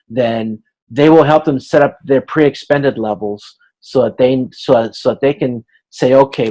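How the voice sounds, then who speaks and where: Halting male instructor explaining step by step. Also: mid-range voice, poor audio. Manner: explanatory, halting, checks understanding, acts out hypothetical dialogue. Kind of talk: informal online lecture. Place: video conference class.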